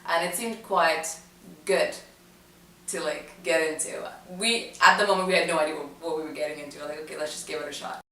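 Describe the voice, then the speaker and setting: A young woman from Dubai with a low voice, telling her story in a loose, chatty way full of "um" and restarts. She sounds set back from the mic in an echoey room. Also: steady tone, fairly quick, a bit bright, recorded from a distance. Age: young adult. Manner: chatty, many fillers and restarts. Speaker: student interviewee. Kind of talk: casual recollection to camera.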